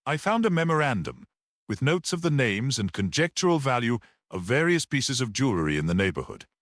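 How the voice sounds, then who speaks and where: Casting: man reading as a polite, dry, self-assured storybook detective, formal and measured, with a swooping intonation. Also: medium pitch, sing-song, a bit bright, no echo, voice pushed up. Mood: composed, explanatory. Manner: formal, measured, clear and courteous.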